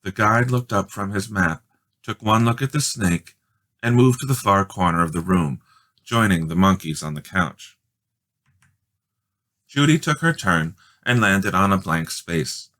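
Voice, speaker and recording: A man reading a children's storybook aloud as a dramatic, expressive narrator. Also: low-pitched, measured pace. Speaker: storybook reader.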